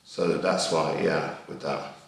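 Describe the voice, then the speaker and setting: A man acting a troubled, suspicious young psychiatric patient in a training film, repeating himself haltingly and insistently in an echoey room. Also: rumbling low, level tone, gravelly, exaggerated voice. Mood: subdued, muddled. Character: unwell, suspicious young male psychiatric patient fixated on music. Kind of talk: acted training film dialogue.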